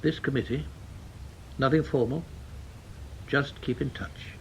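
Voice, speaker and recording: An actor playing an authoritative senior British intelligence chief in a radio drama, speaking in a formal, deliberate, businesslike way. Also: male voice, medium pitch, fairly even intonation, slight background noise, close to an everyday voice, rough recording. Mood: measured, businesslike.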